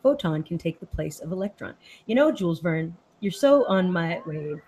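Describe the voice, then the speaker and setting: A woman's voice: fairly low pitch, lively intonation, normal speed, darkish tone, breathy edge. A woman from Austin, Texas, hosting a casual live chat and reading viewer comments aloud in a chatty, easily sidetracked way.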